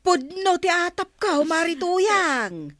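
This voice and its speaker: A woman's voice: extremely high pitch, very animated, takes it slowly, a bit bright, full character voice. An actress playing a shrewd, frank neighbor woman in an Ilocano radio comedy-drama. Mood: frank, concerned.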